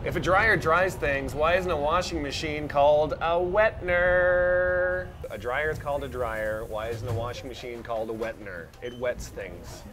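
A young man hosting a mock late-night talk show on a subway car, asking deadpan absurd questions and hamming up his announcer intros. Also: fairly high pitch, a little slow, over music. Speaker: comedy talk show host. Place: subway car, train moving.

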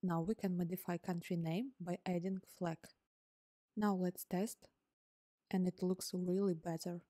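A woman whose first language is Ukrainian, calmly narrating a scripted coding tutorial step by step as host. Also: fairly low pitch, a little slow, no echo, clean studio sound. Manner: calm, step-by-step explaining. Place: voice-over on screen recording.

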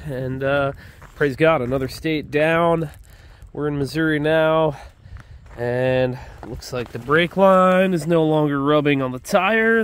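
Man in his 30s, slightly high voice, relaxed rambling road-trip vlogger, stuffy nose, faint wind noise. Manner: easygoing, rambling, frequent "uh" and praise asides. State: stuffed up from allergies. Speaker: motorcycle travel vlogger. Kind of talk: casual on-the-road narration. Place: outdoors by roadsides and a riverbank. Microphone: action camera or phone at arm's length, some helmet-mounted.

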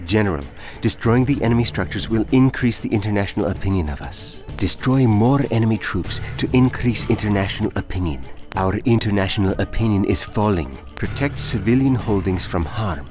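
A man voicing a measured, dutiful military battlefield advisor in scripted announcements for a strategy video game. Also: fairly low pitch, lively intonation, natural voice. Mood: alert, formal. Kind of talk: scripted game tutorial and status alerts. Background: music.

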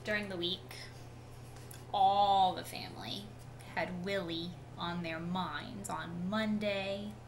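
A woman in her thirties reads a children's picture book at an unhurried pace. Her storytelling is expressive, with a mid-range pitch. A clear layer of noise sits on the home webcam recording. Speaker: teacher reading aloud.

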